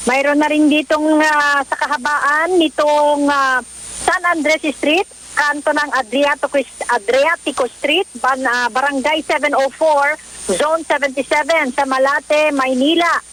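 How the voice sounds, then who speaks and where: A woman with a Filipino accent giving a live field news report for radio, with halting delivery and drawn-out words. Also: sits high, fairly even intonation, a bit bright, rough recording.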